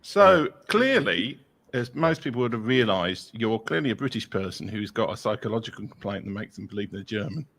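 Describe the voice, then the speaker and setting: A male host asks his guest questions in a wry, teasing tone. His voice is gravelly, and his pitch rises and falls a lot. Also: expressive, brisk, a bit bright. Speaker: podcast host. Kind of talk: casual interview chat.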